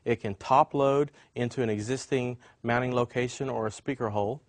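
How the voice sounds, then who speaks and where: Man, mid-pitched voice, dry close sound, product demonstrator, instructional, explanatory step-by-step delivery. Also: steady tone, fairly quick, dry recording.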